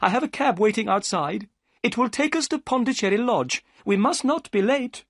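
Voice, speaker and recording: An excitable, chattering gentleman, as a storyteller plays him talks in a raised, lively voice full of eager swings. The tone is a little breathy and recorded close and dry. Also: medium pitch, moderate pace, no room sound, voice pushed up. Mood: urgent. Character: nervous, talkative middle-aged gentleman.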